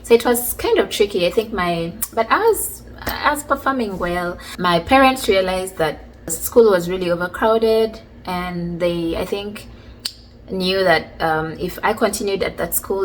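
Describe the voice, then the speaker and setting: A woman, casually telling stories from her childhood in a chatty, relaxed way. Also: fairly low pitch, unhurried, a little hiss or hum, rough recording. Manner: chatty, relaxed, many fillers like "like" and "you know". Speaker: vlogger. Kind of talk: casual storytelling about childhood.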